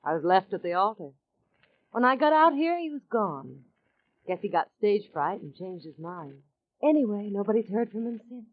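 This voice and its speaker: An actress playing a rueful, casual young secretary at a desert mine in a vintage radio drama. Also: female voice, medium pitch, big pitch swings, moderate pace. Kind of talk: 1940s radio acting. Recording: thin phone-like old radio recording.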